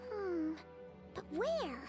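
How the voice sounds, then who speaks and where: Tiny childlike game sidekick sprite, extremely high squeaky voice, swooping, cheeky, chirpy. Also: female voice, drawn-out words, loud music behind the voice, heavily acted. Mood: concerned, eager. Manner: excitable, refers to herself by name. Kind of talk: video game voice acting.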